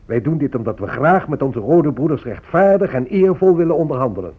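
An actor playing a condescending, bigoted American government negotiator in a radio drama, with a pompous, patronising manner. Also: male voice, on the high side, lively intonation, a little fast, husky, phone-quality sound.

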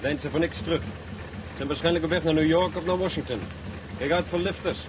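Man playing a curt checkpoint cop, engine rumbling. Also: fairly quick, natural voice. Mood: stern, official. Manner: curt, commanding. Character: stern, officious roadblock policeman. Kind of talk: 1970s radio drama acting. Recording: muffled, noisy old radio recording, engine rumble. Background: idling vehicle engine.

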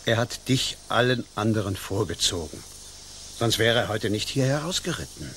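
An actor playing a dignified middle-aged father in a radio play, with a measured, earnest, formal way of talking. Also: lowish voice, expressive, a bit bright, a little hiss or hum. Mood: grave, persuasive. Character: dignified father urging his daughter's advantageous marriage.

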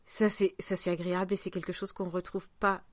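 A woman's voice: lowish voice, flat delivery, a little fast, no echo. A woman hosting a podcast in casual chat, talking in an informal, rambling, self-deprecating way. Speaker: podcast host.

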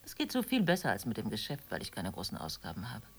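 An actress playing a calm, frank middle-aged mother who reasons matter-of-factly with a friend in a naturalistic radio drama. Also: extremely low pitch, fast, slightly rough, full character voice.

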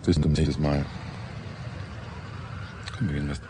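An actor playing a world-weary middle-aged police detective in a radio crime drama, terse and somber. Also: male voice, rumbling low, little pitch movement, normal speed, dark tone. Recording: noisy ambience, vehicle sounds.

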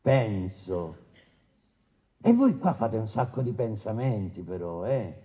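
An actor playing a stern, sardonic presiding judge, questioning brusquely in a courtroom radio drama. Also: male voice, on the low side, big pitch swings, slow pace, mellow. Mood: sarcastic, stern. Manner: interrogating, brusque, sarcastic, repeats answers back. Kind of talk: staged documentary courtroom drama. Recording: dull, lo-fi radio theatre recording.

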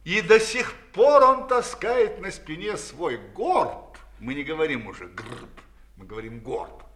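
A man narrating a children's fairy tale in an expressive, theatrical storyteller's manner. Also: a little high, unhurried, a little hiss or hum. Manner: expressive, dramatic delivery.

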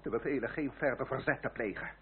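An actor in a radio drama playing a bitter, haughty French marquis, formal and sardonic. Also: male voice, medium pitch, quick pace, close to an everyday voice. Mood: bitter, scornful. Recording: muffled old radio play with faint hiss.

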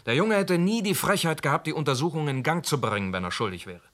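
An actor playing a matter-of-fact police inspector in a radio play. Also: male voice, on the high side, animated, fast talker, fairly bright tone. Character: loyal male police inspector, assistant.

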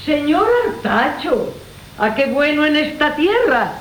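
A high-pitched wily old duenna. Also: female voice, very animated, takes it slowly, exaggerated voice, poor audio. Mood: fawning, mournful. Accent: Castilian Spanish. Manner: fawning, sly, ceremonious. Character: wily old female servant-chaperone, obsequious and greedy. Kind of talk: theatrical Golden Age comedy radio acting. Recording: hissy 1980 radio drama recording.

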